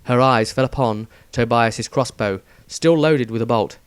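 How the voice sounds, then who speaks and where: A man's voice: medium pitch, a little slow, clear, resonant tone, no room sound. A man reading scripted fantasy adventure narration as a dramatic storyteller.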